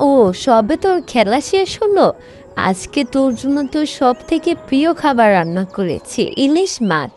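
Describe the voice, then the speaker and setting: Cartoon village mom, female actor: high, animated pitch, sharp tongue, expressive. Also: normal speed, a little hiss or hum. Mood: matter-of-fact. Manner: scolding, expressive.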